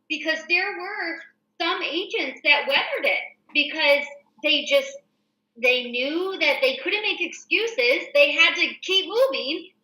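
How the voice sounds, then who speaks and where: American female host, very bright voice, informal and explanatory, recorded in an echoey room. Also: high voice. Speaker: show host and real estate agent. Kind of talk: casual reading of a newsletter with commentary.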